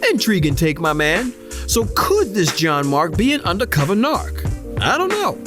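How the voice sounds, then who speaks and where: An actor playing a flashy, slangy radio DJ with smooth, showy call-in patter in an audio drama. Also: medium pitch, big pitch swings, normal speed, close to an everyday voice. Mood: upbeat, intrigued. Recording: music under the voice. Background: music.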